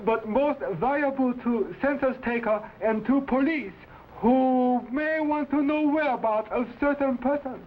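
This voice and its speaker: Sly, obsequious innkeeper, stage Chinese accent, unhurried, thin vintage broadcast sound. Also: male voice, very high voice. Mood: fawning welcome. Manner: obsequious, stilted broken English. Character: fawning, sly Chinese innkeeper, exaggeratedly humble poisoner. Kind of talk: 1950s television adventure serial acting. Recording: thin phone-like old broadcast, clearly noisy.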